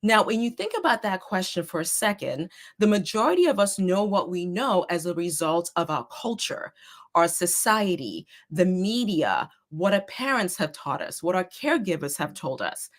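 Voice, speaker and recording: A middle-aged woman speaks as a guest presenter, her voice a little hoarse and lowish. She explains ideas in a steady, earnest way, like a therapist walking a group through a concept. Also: normal speed. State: slightly hoarse. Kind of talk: prepared talk to an online group.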